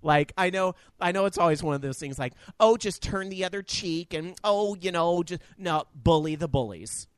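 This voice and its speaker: Profane, animated male podcast storyteller. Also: sits high, moderate pace, hardly any pauses, clear, resonant tone, dry recording. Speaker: podcast co-host. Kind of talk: casual chat.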